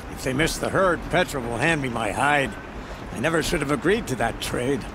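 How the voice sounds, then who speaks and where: Actor doing a chatty worried guard guy from a video game, mid pitch, fretting wryly, noisy outdoor background. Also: expressive, normal speed, lightly acted. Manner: fretful, wry, talkative. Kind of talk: video game character acting. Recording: clear outdoor ambient noise behind.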